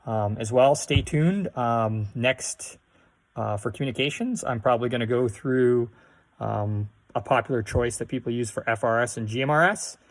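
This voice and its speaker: Guy doing a casual how-to, kinda halting, walks you through it step by step, middling pitch. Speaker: host of a DIY radio tutorial.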